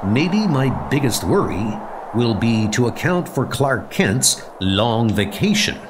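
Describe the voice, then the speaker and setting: A man's voice: medium pitch, very animated, takes it slowly, noisy background. A man voicing an earnest, puzzled heroic reporter with a questioning manner. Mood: reflective.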